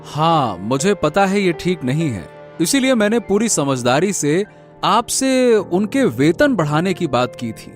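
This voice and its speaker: A man's voice: fairly high pitch, sing-song, a little slow. A man playing an earnest, deferential factory manager in a cartoon moral story, respectful and explanatory. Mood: concerned. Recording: music behind the voice.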